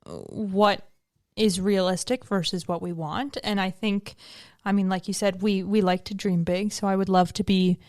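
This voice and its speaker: A woman's voice: medium pitch, fairly even intonation, moderate pace, a little mellow, no room sound, clean studio sound. A woman in her twenties, a band member answering interview questions in an earnest, thoughtful way. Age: 20s. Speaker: band musician guest.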